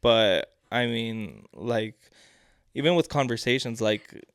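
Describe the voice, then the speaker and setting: A quiet, reserved man talks in an even, unhurried way, leaning on fillers. His voice is smooth and clean. The sound is dry and close. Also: no room sound. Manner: quiet, low-key, even delivery with many fillers. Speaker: podcast guest. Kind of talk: casual chat.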